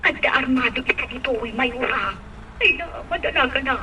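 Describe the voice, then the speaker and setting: Worried Ilocano villager, slightly distant. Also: female voice, sits high, fairly quick, pushed and bright, slight background noise. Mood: alarmed. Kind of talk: radio drama acting.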